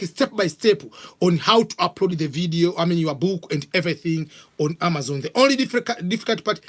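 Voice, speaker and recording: A middle-aged man from Tanzania with a Tanzanian accent, hosting a live vlog and answering viewer questions in a casual, halting way full of fillers. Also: high voice, fairly bright tone. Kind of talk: live Q&A chat.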